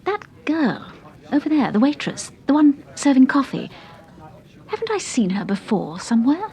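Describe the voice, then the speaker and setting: An actress playing a refined, inquisitive and affectionate British detective's wife in a radio drama. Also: female voice, high-pitched, very animated, normal speed, a little mellow. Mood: wary, curious. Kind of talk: BBC radio drama acting. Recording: radio drama with busy background sounds.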